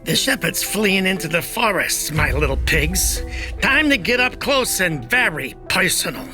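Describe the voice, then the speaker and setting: A man's voice: high voice, big pitch swings, measured pace, music in the background. A man playing a sneering villain boss in a table read, with mocking old-time mobster talk. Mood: gloating, threatening. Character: sneering gangster-style villain boss of pig henchmen. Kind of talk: fantasy table read acting.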